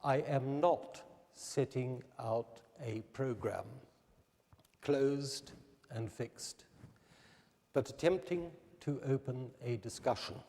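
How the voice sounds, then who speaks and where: A man delivers a formal prepared speech at an unhurried, deliberate pace. His voice is mellow and dark in tone. The sound carries a long reverb from a large hall. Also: mid-range voice. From New Zealand. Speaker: candidate giving a public presentation.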